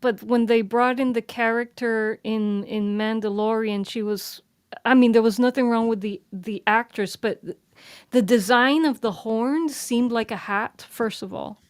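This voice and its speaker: A woman with a fairly high voice, recorded very dry, in a casual podcast discussion. She speaks as a panelist, starting and restarting phrases in a halting way. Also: steady tone, clean tone, no echo. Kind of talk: casual chat.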